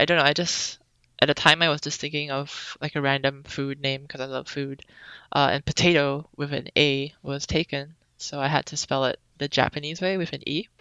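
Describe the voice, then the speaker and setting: A young woman from Singapore chats as a podcast guest, relaxed and rambling with plenty of ums. Her voice is bright and crisp with a gravelly edge. The recording is dry and a little dull, like a lo-fi remote call. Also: rumbling low, no room sound. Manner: relaxed, chatty, many ums. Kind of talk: casual interview chat.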